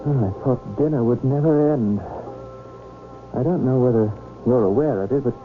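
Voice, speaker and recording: An actor playing an earnest, polite young doctor in an old-time radio drama. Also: male voice, mid-range voice, moderate pace, over music, close to an everyday voice. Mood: grave, clinical. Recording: lo-fi radio broadcast, faint noise.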